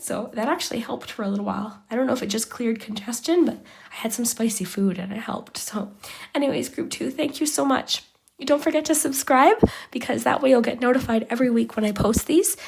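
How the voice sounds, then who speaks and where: A woman giving a chatty tarot reading straight to her viewers while losing her voice, sounding hoarse and sometimes sinking to a whisper. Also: on the high side, darkish tone. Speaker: tarot reader. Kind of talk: pick-a-card tarot reading to camera.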